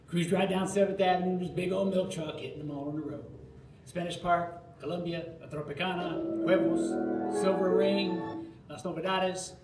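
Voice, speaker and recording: An older American man performing a nostalgic autobiographical monologue live, theatrical, with dramatic pauses. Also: sits high, steady tone, over loud music. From Florida, United States. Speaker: storyteller. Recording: roomy live recording with faint noise.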